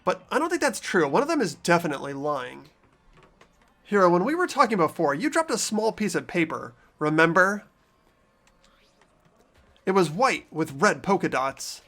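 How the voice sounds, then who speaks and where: A man's voice: high voice, big pitch swings, normal speed, long pauses, a bit bright, faint music behind. A man reading the narration and inner thoughts of a game's protagonist in a fan playthrough. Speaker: narrator and player.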